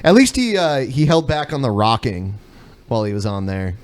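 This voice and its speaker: A man's voice: mid-range voice, sing-song, moderate pace. A man co-hosting a podcast with casual, profane and irreverent comedic commentary. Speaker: podcast co-host.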